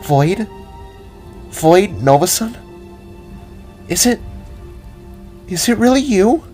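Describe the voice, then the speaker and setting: A man's voice: high voice, very animated, slow pace, mellow, faint music behind, pitched above the performer's own voice, exaggerated voice. A man voicing a nervous, emotional young female spark reuniting with an old friend, stammering as the words come out, in an audio drama.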